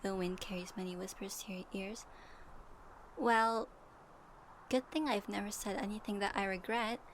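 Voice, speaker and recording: A woman playing a gentle, curious young female traveler in a soft ASMR audio roleplay, pausing as if for an unheard partner. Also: medium pitch, fairly even intonation, a little hiss or hum, lightly acted. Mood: friendly.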